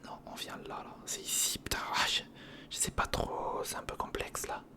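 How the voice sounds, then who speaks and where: A breathy, unvoiced whisper carries this ASMR commentary. The speaker mutters casually to themselves while working with their hands, repeating short phrases in informal slang, close to the mic. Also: normal speed. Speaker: ASMR video creator. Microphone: close-up studio condenser or handheld recorder.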